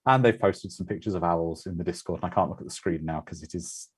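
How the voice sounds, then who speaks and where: A man's voice: low voice, fast, breathy edge. A man hosting a watch party, giving casual, conversational commentary on a film.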